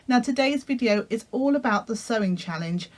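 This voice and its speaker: A woman with a croaky voice from a cold, chatting casually to camera as a sewing vlogger, friendly and full of ums. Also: on the high side, expressive, moderate pace, darkish tone.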